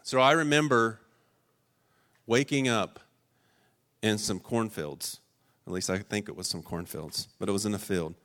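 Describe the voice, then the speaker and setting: Earnest preacher with a low voice. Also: male voice, a little slow, long pauses. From rural Ohio. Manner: earnest and emphatic, swinging between raised stresses and quiet personal asides. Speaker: pastor. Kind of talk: sermon.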